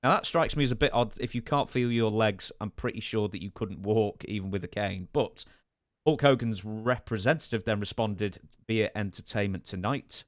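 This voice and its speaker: A man hosting a podcast in a measured, polite way, putting questions and reading out news items. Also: lowish voice, dry recording, clean studio sound. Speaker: podcast host. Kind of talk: interviewing, reading out wrestling news.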